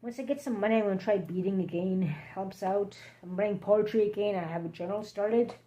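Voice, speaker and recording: A woman with a hoarse, scratchy throat, hosting a rambling late-night livestream chat from home, halting and drifting between topics. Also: medium pitch, mellow. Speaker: livestream host.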